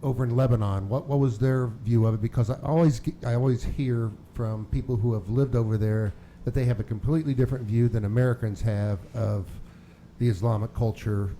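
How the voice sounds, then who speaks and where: A man on an interview panel asking a halting, rambling question. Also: medium pitch, fairly even intonation, warm tone, a little hiss or hum.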